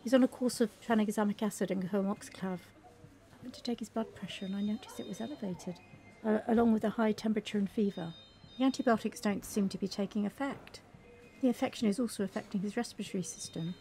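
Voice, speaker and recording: A woman playing a brisk, clinical hospital medic in an audio drama. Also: medium pitch, dark tone, slight background noise, close to an everyday voice. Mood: brisk, concerned.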